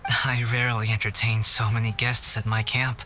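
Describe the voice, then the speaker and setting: A man voicing a calm, cultured young artist in a video game, speaking in a measured, formal, politely aloof way. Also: medium pitch, a little hiss or hum, natural voice. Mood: gentle, reflective. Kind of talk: scripted game voice acting.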